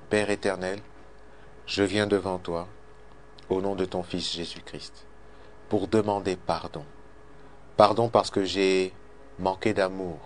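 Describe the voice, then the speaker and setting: A man preaching a radio sermon and leading a prayer, fervent, repeating his phrases and swinging between loud and soft. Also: sits low, slight background noise. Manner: fervent, repeats phrases, swells and drops in loudness.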